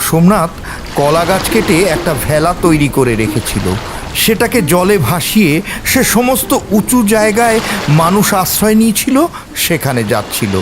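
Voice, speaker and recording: A man's voice: high voice, big pitch swings, moderate pace. A man narrating a scripted story in a theatrical, suspenseful way, with music and storm ambience behind.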